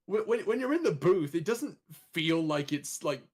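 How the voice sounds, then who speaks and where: A man's voice: on the high side, sing-song, normal speed, slightly rough. A man talking casually as a voice actor on a panel, earnest and enthusiastic, feeling his way through sentences. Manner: earnest, enthusiastic praise, hesitant phrasing.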